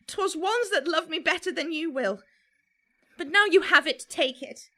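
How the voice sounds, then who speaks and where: Actress as fickle, teasing young Trojan woman for verse drama; high, bright, declamatory. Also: expressive, full character voice. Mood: wavering. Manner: slow, declamatory, wavering. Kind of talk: theatrical Shakespearean verse acting.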